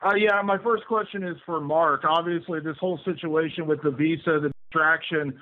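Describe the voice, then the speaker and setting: A man's voice: on the high side, steady tone, moderate pace. A man working as a journalist, putting questions on a press conference call over the phone. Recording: narrow phone line.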